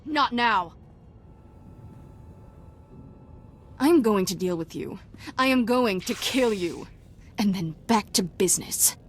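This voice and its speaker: A woman's voice: mid-range voice, expressive, measured pace, a little hiss or hum, close to an everyday voice. A woman acting a young fallen Jedi who leads a cult in a video game, taunting, boastful and fanatical. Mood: menacing.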